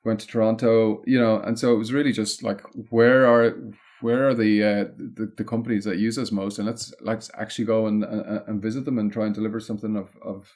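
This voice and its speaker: A man's voice: on the low side, level tone, fairly quick, darkish tone. An Irish man speaking as an interview guest, casually and discursively, thinking aloud as he goes. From Ireland. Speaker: podcast guest, content marketing consultant.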